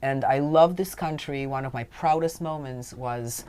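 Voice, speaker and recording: A middle-aged woman with a very low voice, a guest on a talk radio show. She is from Romania and grew up in Canada, and she speaks earnestly and with emphasis, now and then wryly. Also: measured pace, dark tone, clean tone. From Romania, raised mostly in Canada. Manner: earnest, emphatic, occasionally wry. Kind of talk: telling her life story in a radio interview.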